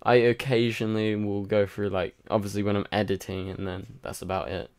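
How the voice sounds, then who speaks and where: A man's voice: fairly low pitch, little pitch movement, brisk, darkish tone, clean tone. A tired man hosting a casual livestream, rambling and chatty with lots of fillers while answering viewer comments. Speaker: livestream host. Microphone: home microphone.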